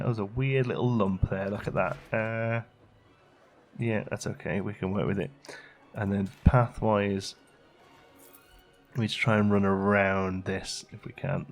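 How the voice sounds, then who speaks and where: Low, gravelly man commentating a game. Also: fairly even intonation, normal speed, soft background music. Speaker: let's play video host. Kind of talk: casual gameplay commentary, thinking aloud.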